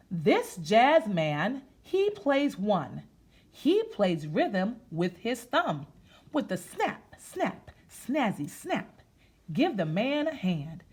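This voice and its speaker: A woman reading aloud to children in an expressive, sing-song way, talking to them directly as she goes. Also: medium pitch. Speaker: children's story-time reader. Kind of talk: interactive read-aloud to children, part sung.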